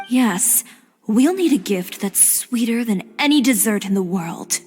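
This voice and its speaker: A woman's voice: on the high side, animated, a little slow. A woman playing a composed, decisive, stylish boutique owner in a video game, speaking with assurance. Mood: thoughtful, assured. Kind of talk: scripted video game dialogue. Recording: faint music behind the voice.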